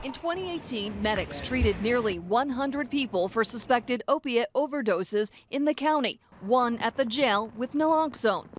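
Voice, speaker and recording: A woman's voice: fairly high pitch, animated, moderate pace, few pauses, background noise. A woman reporter reading scripted narration for a television news story.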